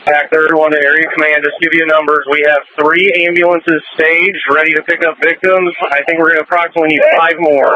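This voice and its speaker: A man's voice: a little high, flat delivery, a little fast, few pauses, dry recording. A man, an emergency responder, speaking in clipped phrases over radio. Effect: radio transmission. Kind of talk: radio traffic. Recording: muffled, faintly noisy radio.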